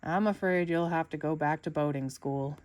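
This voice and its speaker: A woman voicing a stern, official male cartoon police officer in a storybook, deliberate and matter-of-fact. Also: low-pitched, a little mellow, no room sound, pitched below the performer's own voice, close to an everyday voice.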